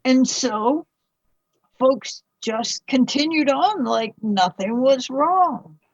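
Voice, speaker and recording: Woman acting a husky, very slow grieving mother. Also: high-pitched, no room sound, dull audio, full character voice. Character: grieving mother from a past century, anguished. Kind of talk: radio play acting.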